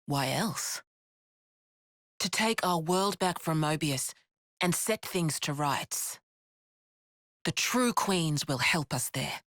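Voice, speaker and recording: An actress playing a frank, steady female resistance leader in a video game, with plain-spoken, measured storytelling. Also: low voice, no room sound, clean studio sound, exaggerated voice. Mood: solemn explaining. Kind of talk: video game cutscene acting. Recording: music behind the voice.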